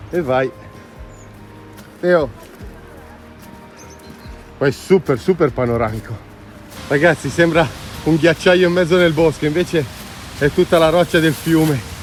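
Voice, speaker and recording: A man's voice: a little high, a little slow, pauses often, faint music behind. A man chatting casually and enthusiastically as he records a hiking vlog outdoors, in an informal, exclamatory way. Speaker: hiking vlogger. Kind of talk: casual vlog chat while walking outdoors. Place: outdoors on a mountain trail. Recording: outdoor noise, ambient hiss.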